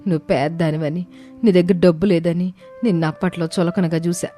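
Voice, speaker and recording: A woman's voice: on the low side, fairly even intonation, brisk, warm tone, lightly acted. A woman voicing an emotional, remorseful older mother-in-law in a dramatized moral story. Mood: tearful remorse. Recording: music bed under the voice.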